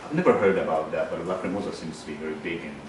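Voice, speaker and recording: A man's voice: low voice, animated, racing. A man asking interview questions in a casual way, often murmuring along while the guest talks. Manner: frequent soft backchannel murmurs. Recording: distant mic in a very echoey room with noise.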